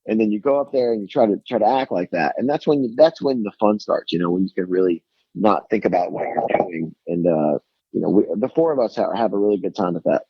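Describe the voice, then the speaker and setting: A man's voice: fairly low pitch, lively intonation, quick pace, slightly rough, no room sound, lo-fi sound. A man from Tennessee with a thick Southern accent, telling rambling, self-mocking stories as a guest on the phone from his home by a lake. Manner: rambling, self-mocking storyteller with many restarts. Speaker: guest musician. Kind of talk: casual phone interview storytelling.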